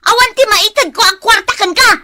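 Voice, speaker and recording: A woman with an Ilocano Filipino accent playing a frazzled, quick-tempered beautician and nagging wife in a comic radio drama, whining and exclaiming. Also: very high voice, background noise. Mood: exasperated nagging. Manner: whiny, wailing exclamations. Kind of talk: comedic radio drama acting.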